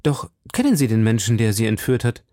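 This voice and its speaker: A man voicing a brash young gallant in an audiobook, inquisitive, impulsive and briskly exclamatory. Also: medium pitch, sing-song, warm tone, dry recording, studio quality, lightly acted. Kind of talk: audiobook character dialogue.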